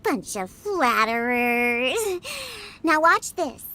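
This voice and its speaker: A woman's voice: on the high side, very animated, extremely slow, bright, forceful tone, slight background noise, exaggerated voice. A woman playing a proud, grumpy but secretly kind young girl in a video game, curt and huffy. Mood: smug.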